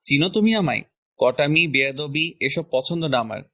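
A man's voice: fairly high pitch, animated, normal speed, bright, forceful tone, no echo, clean studio sound. A man playing an irritable, bossy young man who scolds curtly in a romantic audio story, dropping in English words. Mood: annoyed, scolding. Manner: scolding, curt, mixes English words into speech. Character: irritable, bossy young man, impatient older brother type.

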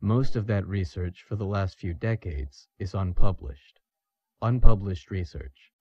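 An actor playing a grave, authoritative expert explaining things in an audio drama. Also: male voice, low-pitched, a little slow, dark tone, slightly rough, dry recording, muffled recording. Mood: grave, explanatory.

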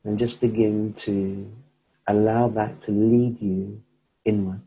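A man guiding a slow meditation, speaking softly with long pauses between phrases. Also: on the low side, little pitch movement, breathy edge, phone-quality sound. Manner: slow, soft-spoken, pausing often. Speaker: meditation teacher. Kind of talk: guided meditation.

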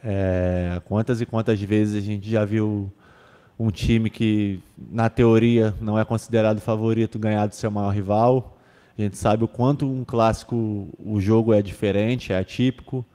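Young man, low mellow voice, footballer answering press, filler-heavy, reverberant room. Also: steady tone, unhurried. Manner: casual, repeats fillers, restarts phrases. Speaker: football defender at a press conference. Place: club press room with sponsor backdrop. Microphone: table microphone on stand.

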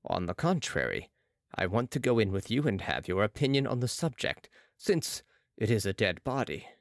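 A reader doing a grave, quiet mysterious master. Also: mid-range voice, big pitch swings, moderate pace, dry recording, clean studio sound, pitched below the performer's own voice. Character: solemn mysterious Italian male master. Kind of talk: audiobook character reading.

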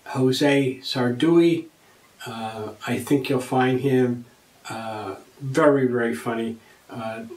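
An older man hosts his own web show, speaking haltingly and pausing often between phrases. His mid-pitched voice sounds roomy, with a noticeable echo around it. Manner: halting, many uh fillers. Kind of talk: casual show introduction. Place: small studio in front of a virtual set. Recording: roomy, echoey room.

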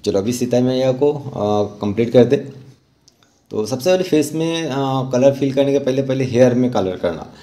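Man with a mellow, subdued voice. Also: medium pitch. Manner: informal, halting, with English terms mixed in. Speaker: rangoli art instructor. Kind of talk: step-by-step tutorial narration.

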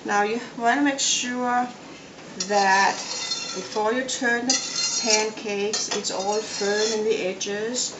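A middle-aged woman with a Danish accent, giving a casual, unhurried cooking demonstration step by step. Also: medium pitch, fairly even intonation, muffled recording. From Denmark. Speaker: home cook and cooking show host. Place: home kitchen, indoors. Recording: echoey room, loud noise, kitchen clatter.

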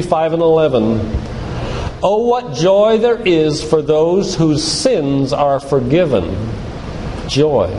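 An older man giving a sermon-like lecture with scripture readings, speaking in a deliberate, emphatic way with pauses. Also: fairly high pitch, a little mellow. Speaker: physician giving a church lecture. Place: large church room, live talk. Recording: echoey hall with background noise.

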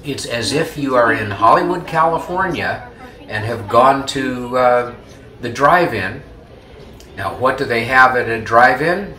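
A man's voice: mid-range voice, unhurried, sharp tone. A man in his seventies co-hosting a restaurant tour vlog, talking in an easygoing, chatty way full of filler sounds. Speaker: vlog co-host. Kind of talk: casual restaurant tour narration. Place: themed dine-in restaurant, indoors. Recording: roomy with ambient restaurant noise.

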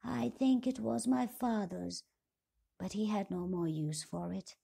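A woman's voice: medium pitch, unhurried, creaky, mellow, slightly rough, pitched above the performer's own voice, full character voice. A woman reading a fairy tale, putting on the thin, half-whispered voice of an ancient old woman who has not spoken in decades. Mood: vague. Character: ancient wary old woman, long silent.

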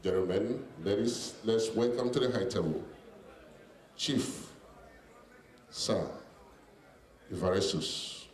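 A middle-aged man speaking formally and deliberately as master of ceremonies, introducing guests over a handheld microphone. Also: on the low side, recorded from a distance. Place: large hotel banquet hall. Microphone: handheld mic over PA, picked up by distant camera. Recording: echoey banquet hall PA, from afar, music.

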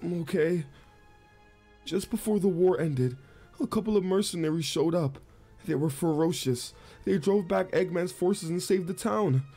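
A man's voice: high-pitched, unhurried, dark tone. A man voicing a frightened, timid dog guard, nervous and hesitant, in a comic dub. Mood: resigned, worried. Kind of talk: amateur comic dub acting. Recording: music behind the voice.

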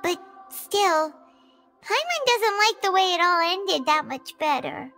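A woman playing a tiny, perky, childlike fairy companion in a video game, with a squeaky manner. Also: big pitch swings, slow, sharp tone, faint music behind, heavily acted. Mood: puzzled, uneasy. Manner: squeaky, refers to herself in third person. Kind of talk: scripted video game dialogue.

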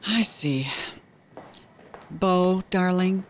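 A woman playing a gentle, devoted mother of a troubled girl in an audio drama. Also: on the low side, very slow, slight background noise. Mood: patient, coaxing.

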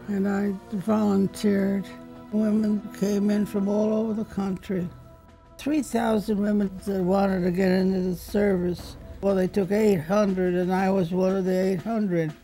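An older woman with a gravelly, mellow voice reminisces in a flat, plainspoken way. She is interviewed in a living room, with soft music playing underneath. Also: mid-range voice, rough recording. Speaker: interviewee, veteran. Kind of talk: documentary interview recollection.

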